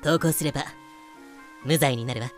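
A man's voice: mid-range voice, normal speed, faint music behind, pitched below the performer's own voice, close to an everyday voice. A man voicing a bold, easygoing, scheming female pirate captain with breezy, self-assured feminine phrasing in a fan audio drama. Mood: calm, insistent.

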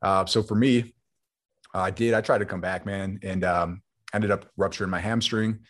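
A man in his late twenties, a former pro football player now in business, telling stories in a relaxed, rambling way and often saying "man". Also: low voice, normal speed. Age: 20s. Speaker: guest, former football player turned entrepreneur. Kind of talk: casual interview storytelling.

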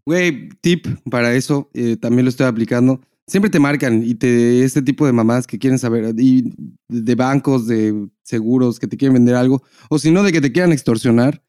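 A man's voice: medium pitch, moderate pace, warm tone, dry recording, clean studio sound. A Mexican man hosting a podcast, chatting casually and informally with slangy wording. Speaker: podcast host. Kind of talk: casual conversational chat.

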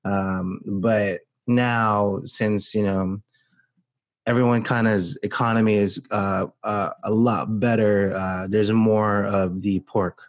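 A man's voice: fairly low pitch, fairly even intonation, takes it slowly. A man from the Philippines, talking casually on a podcast, rambling with frequent restarts. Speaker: podcast guest. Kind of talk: casual chat.

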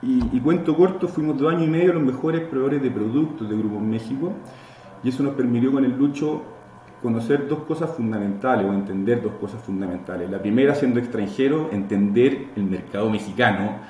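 A Chilean man in his thirties tells his story as a podcast guest, chatty and circling back on his own words. His voice is dark and relaxed. The room gives it a noticeable echo. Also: mid-range voice, a little fast. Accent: Chilean Spanish. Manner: chatty, repeats words while building a story. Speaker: guest, entrepreneur. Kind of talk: casual interview storytelling. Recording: roomy echo, faint background noise.

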